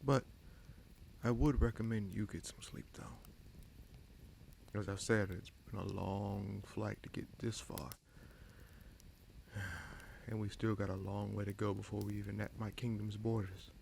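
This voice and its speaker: A man playing a scheming sorcerer prince in a fantasy audio roleplay, smug and coolly menacing, with a gravelly voice and slow, deliberate, taunting delivery. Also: fairly low pitch, little pitch movement, darkish tone, slight background noise. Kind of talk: fantasy audio roleplay, dramatic monologue addressed to listener.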